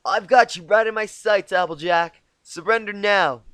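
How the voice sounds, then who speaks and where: Dude putting on a brash girl pony voice, pushed up high, cartoony trash talk. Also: normal speed, fairly bright tone, smooth, dry recording. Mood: cocky, taunting. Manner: taunting, competitive. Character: brash, cocky young female cartoon pony.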